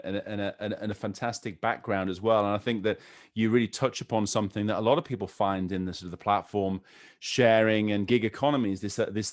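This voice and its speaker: A man from a Nordic country hosting a podcast interview, asking long, rambling questions full of repeated words. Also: lowish voice. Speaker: podcast host.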